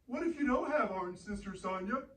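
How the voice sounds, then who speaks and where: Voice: mid-range voice, lively intonation, moderate pace, fairly bright tone, a little hiss or hum, close to an everyday voice. A performer voicing a friendly, childlike puppet sidekick in a children's show, playful and naive in manner. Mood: naive wonder. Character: Blue, friendly childlike puppet sidekick who loves singing. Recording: roomy echo, distant from mic.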